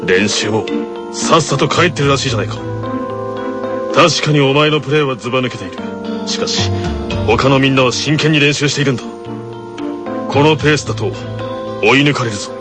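A man's voice: medium pitch, close to an everyday voice. Stern student captain for anime radio drama, male actor, mid register, controlled scolding over a music bed. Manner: strict, measured, reproachful. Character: stern teenage tennis club captain scolding juniors.

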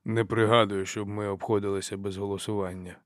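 A deliberate male warrior chief from a fantasy audiobook, voiced by a male reader. He is firm and unyielding, with a fairly low voice. It is recorded close and dry. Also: little pitch movement, no echo, close to an everyday voice. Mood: calm firmness. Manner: measured, firm.

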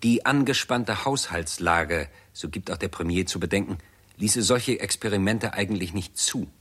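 An actor narrating a 1960s German radio play in a sober, formal, report-like manner. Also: male voice, low voice, normal speed, fairly bright tone, husky. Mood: sober, factual.

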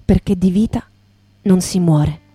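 A woman acting in poetic radio theatre as a wondering, solemn storyteller, with a measured, lyrical delivery. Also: fairly low pitch, mellow, breathy edge. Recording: guitar music behind the voice.

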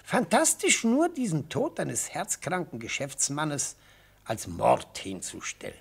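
An actor playing an elderly, theatrical defence lawyer in a radio play, speaking in rhetorical, declamatory phrases with savoured pauses and a husky voice. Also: male voice, on the high side, huge pitch swings, full character voice. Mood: indignant pleading. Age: older. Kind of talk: radio play courtroom oratory. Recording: vintage radio play recording.

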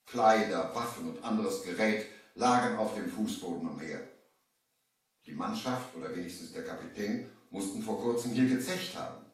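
A male actor as a shaken merchant narrating a ghostly sea adventure in a 1970s radio play. His voice is fairly low and dramatic. It sounds distant and heavily echoed, like an old vinyl record. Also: normal speed, natural voice. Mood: grave, suspenseful. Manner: dramatic, storytelling. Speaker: narrator and lead in an audio drama.

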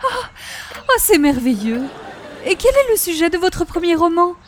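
A woman's voice: very high voice, sing-song, moderate pace, slight background noise. A woman playing an effusive, romantic middle-aged busybody in an old-time radio comedy, prim, dramatic and flowery. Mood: gushing.

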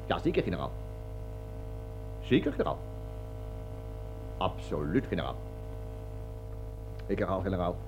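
An actor playing a pompous army colonel in a radio play, servile to superiors and explosive with subordinates, speaking long-winded military jargon. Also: male voice, deep voice, big pitch swings, measured pace, long pauses, over music, full character voice, low-quality recording. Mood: officious, deferential. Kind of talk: radio drama acting.

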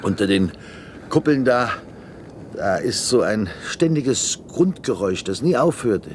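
An actor playing a wistful older deputy in a 1970s German science-fiction radio play, musing hesitantly with a touch of irony. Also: male voice, animated, unhurried. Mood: wistful wonder. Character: wistful, wondering older male deputy with stalled career. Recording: noisy 1970s radio drama recording, rumble.

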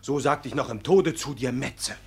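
A man's voice: medium pitch, normal speed, husky. An actor playing an angry, stubborn young peasant man, blunt and scornful, in a 1950s German radio play. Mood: bitter, hurt.

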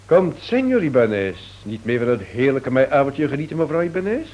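An actor playing a self-assured investigator in a radio drama, polite, reassuring and pointed in questioning. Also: male voice, mid-range voice, big pitch swings, a little fast, darkish tone. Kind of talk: Dutch radio play acting. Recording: dull old radio recording.

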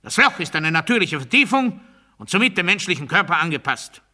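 An actor playing an obedience-obsessed man delivering absurd totalitarian doctrine in a deliberate, declamatory radio play monologue. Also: high-pitched, sing-song, heavily acted. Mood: strident proclamation. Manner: deliberate, declamatory, swinging from hushed confiding to strident proclamation.

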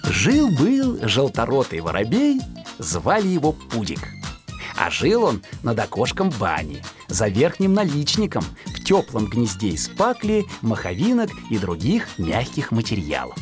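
Animated storyteller with a very wide pitch range. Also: unhurried, music in the background. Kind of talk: expressive scripted narration of a children's animal tale.

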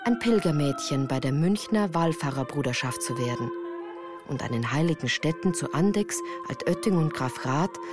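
Sad woman telling her life story, radio play, lowish voice, measured and earnest, music behind. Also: animated. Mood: reflective, earnest. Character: melancholy woman recounting her hard Bavarian youth. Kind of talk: scripted literary narration for radio drama.

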